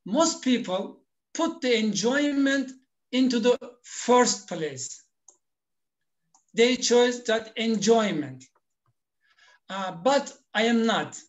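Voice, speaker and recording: Male language teacher being interviewed, really slow and deliberate, non native english phrasing. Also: very high voice. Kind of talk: explanatory interview talk.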